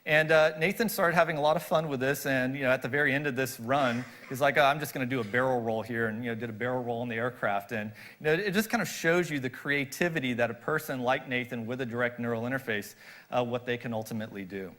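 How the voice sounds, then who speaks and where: A man giving a public presentation as a research director, speaking in a halting, explanatory way with repeated words. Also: mid-range voice, fairly bright tone. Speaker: government research agency director giving a talk.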